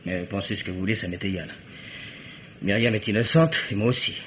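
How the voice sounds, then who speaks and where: A man's voice: fairly low pitch, a little fast, fairly bright tone, a little hiss or hum, close to an everyday voice. An actor playing a stubborn, agitated man under suspicion, defiant and urgent, in a radio mystery play.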